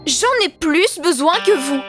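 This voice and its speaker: A woman voicing a self-assured, slightly vain girlish pet in a children's cartoon, chatty and expressive. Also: high-pitched, unhurried, over music. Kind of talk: French-dubbed children's cartoon acting.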